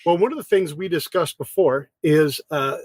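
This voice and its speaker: A man hosting a podcast, talking in a casual, energetic, conversational way. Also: on the high side, normal speed, no echo. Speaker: podcast host.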